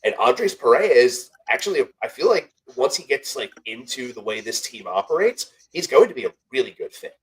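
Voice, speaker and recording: A man's voice: medium pitch, very animated, normal speed, few pauses, husky, poor audio. A young man co-hosting a casual sports podcast. He sounds a little nasal and congested from a cold, and he rambles, corrects himself and jokes through his ad reads. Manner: rambling, self-correcting, joking. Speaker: podcast co-host.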